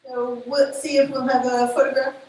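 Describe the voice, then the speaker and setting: A woman with a Spanish accent addresses a crowd in a big event space, her voice a touch high. She talks informally and haltingly, with fillers and quick self-corrections. The sound bounces heavily around the hall, with a faint noise floor beneath. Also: flat delivery, darkish tone. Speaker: program director presenting awards. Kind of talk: live event announcements.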